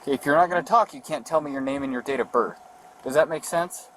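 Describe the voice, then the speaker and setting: A man's voice: on the high side, fast, fairly bright tone. A man working as a police officer, giving firm, procedural instructions during a roadside traffic stop. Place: outdoors at a roadside by a vehicle. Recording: noisy roadside body-camera audio.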